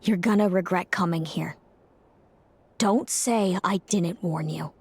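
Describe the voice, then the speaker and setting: Aloof, slow-spoken young woman. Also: fairly low pitch, slightly rough, dry recording, heavily acted. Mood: guarded, warning. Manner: curt, guarded, measured. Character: guarded, aloof young woman, curt and reluctant. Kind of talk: anime video game voice acting.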